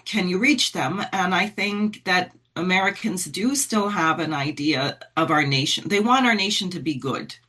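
A middle-aged woman talks earnestly, working through her ideas aloud with frequent fillers. Her voice is bright and carries a husky edge. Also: on the low side, moderate pace, few pauses. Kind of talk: conversational political talk.

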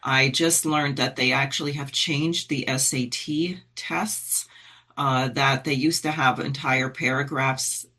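A middle-aged woman talks earnestly, working through her ideas aloud with frequent fillers. Her voice is bright and carries a husky edge. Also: extremely low pitch, fairly even intonation, slow. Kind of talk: conversational political talk.

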